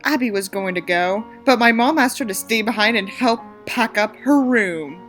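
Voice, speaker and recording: A woman's voice: a little high, moderate pace, a bit bright, faint music behind. A woman giving a mocking dramatic reading of a story, dropping in sarcastic, theatrical casual asides. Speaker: host reading a story aloud with commentary. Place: small room in an apartment.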